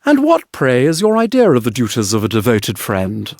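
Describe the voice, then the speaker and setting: A man's voice: medium pitch, huge pitch swings, normal speed, darkish tone, no room sound, clean studio sound, pitched below the performer's own voice. A man voicing a small green linnet in a storybook, a polite, pointed little storyteller bird. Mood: questioning. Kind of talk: storybook character voice.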